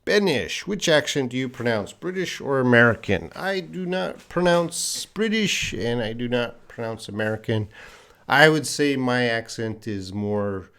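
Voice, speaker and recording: A man's voice: mid-range voice, expressive, a little slow, a bit bright. A middle-aged man with a light Canadian accent teaching English on a live stream, patiently answering viewers' questions and repeating words for learners.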